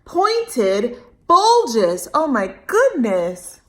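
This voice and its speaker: A woman with a fairly high voice reads a storybook to young children. Her delivery is expressive, with stretched emphasis on key words, and she talks straight to her small listeners as a storyteller would. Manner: animated, drawn-out emphasis, addresses young listeners directly. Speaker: storyteller reading a picture book aloud to children. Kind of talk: expressive read-aloud with chatty asides and questions.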